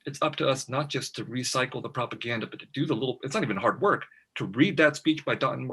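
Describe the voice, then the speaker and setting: A man's voice: medium pitch, sharp tone, husky. A man speaking on a panel in an emphatic, impassioned way. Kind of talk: panel discussion.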